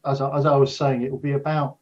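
An older man with a husky, slightly rough voice answers as an expert interview guest. He explains things carefully and restarts phrases as he goes. The audio is somewhat dull and muffled. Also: medium pitch, flat delivery, fast. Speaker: interview guest, astrophysics professor.

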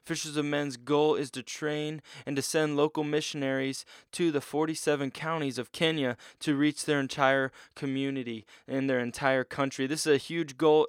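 A man hosting a faith podcast, moving from an upbeat scripted intro to relaxed questions full of small fillers. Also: a little high, clean tone, no echo, studio quality. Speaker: podcast host and pastor. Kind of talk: interview podcast.

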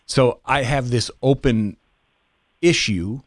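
A man in his fifties telling a personal story as a podcast guest in a reflective, halting way. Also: mid-range voice, no room sound, studio quality. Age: 50s.

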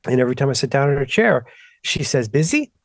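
Animated, rambling man on a podcast. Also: medium pitch, quick pace, creaky, no room sound, studio quality. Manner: rambling, self-interrupting, animated storytelling. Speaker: podcast co-host. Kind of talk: casual chat.

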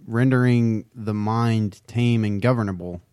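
A man chatting casually as a podcast co-host, with a dry, deadpan and learned wit. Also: lowish voice, measured pace, clear, resonant tone, no echo. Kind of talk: casual chat.

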